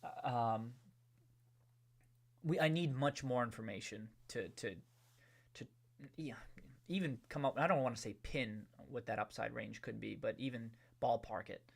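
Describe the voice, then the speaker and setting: A man chats casually on his livestream, greeting viewers and answering their questions. He rambles and restarts, stacking up fillers as he thinks aloud. His pitch sits squarely in the middle range. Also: a little slow, vocal fry, long pauses. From New England. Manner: loose and chatty, many restarts, hums a tune. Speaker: livestream host. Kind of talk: casual livestream chat reading viewer comments.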